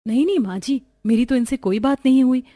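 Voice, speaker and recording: A woman's voice: high voice, fast talker, dark tone. A woman voicing characters in a cartoon family story in an earnest, pleading tone. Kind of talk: cartoon dialogue acting.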